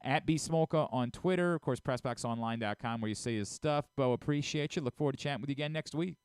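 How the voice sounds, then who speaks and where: A man's voice: mid-range voice, quick pace, smooth, no room sound. A man hosting sports radio in a casual, talkative style, on a close studio microphone. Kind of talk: casual sports radio talk, sponsor reads.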